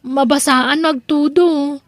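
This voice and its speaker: Woman acting a high-voiced, put-upon sweetheart. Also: fairly even intonation, slow pace, no echo. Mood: indignant. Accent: Ilocano. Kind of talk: Ilocano comedy audio drama acting.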